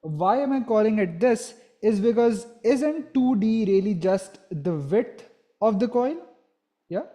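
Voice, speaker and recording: Young guy teaching physics step by step, pretty high voice, soft mellow tone. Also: animated, measured pace. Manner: checks in with "right?" as he explains. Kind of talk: lesson explaining step by step.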